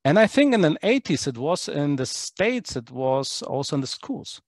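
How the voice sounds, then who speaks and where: A man's voice: a little high, very animated, normal speed, no echo. Hesitant man, dry close sound. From Poland. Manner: hesitant, many fillers and restarts, laughs easily. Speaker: podcast guest, tour guide. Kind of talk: casual podcast conversation.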